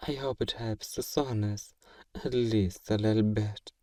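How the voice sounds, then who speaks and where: A man playing a kind, courteous vampire gentleman in an ASMR roleplay, speaking gently and reassuringly to the listener in an old-fashioned, genteel way. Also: fairly low pitch, fairly even intonation, a little slow, no echo, natural voice. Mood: gentle, comforting. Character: kind, wealthy, genteel male vampire owner, soothing and possessive.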